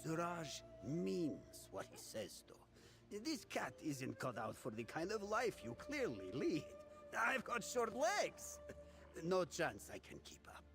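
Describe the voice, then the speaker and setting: A man's voice: a little high, huge pitch swings, takes it slowly, gravelly, music in the background, full character voice. A man playing a tiny, boastful, lovesick drunken pirate cat in a video game, talking theatrically. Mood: tipsy, wistful. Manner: talks of self in third person, theatrical. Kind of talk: video game character acting.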